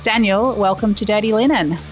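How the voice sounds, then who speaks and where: A woman's voice: medium pitch, a little fast, faint music behind. A woman hosting a food podcast, introducing and questioning her guest enthusiastically. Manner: enthusiastic, friendly, some fillers. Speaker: podcast host. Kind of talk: podcast interview.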